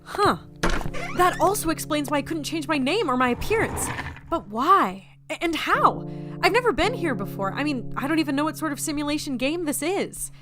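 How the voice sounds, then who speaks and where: A woman playing a feisty, flustered young office newcomer in an audio drama, excitable, sarcastic and prone to stammering. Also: high voice, moderate pace, music in the background. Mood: puzzled.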